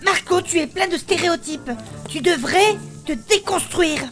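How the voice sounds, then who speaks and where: A high-pitched, indignant warrior woman, acted by a woman in a fantasy comedy audio drama. She is outspoken and emphatic, proud of her armour and her femininity, and speaks over a clear music bed. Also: moderate pace. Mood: exasperated. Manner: argumentative, emphatic, coarse-tongued.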